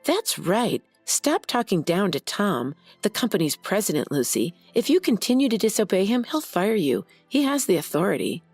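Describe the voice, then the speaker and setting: A woman voicing a greedy, scheming wife who speaks in a shrill, excitable way in a narrated story. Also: moderate pace, hardly any pauses, husky, no room sound, soft background music. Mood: smug.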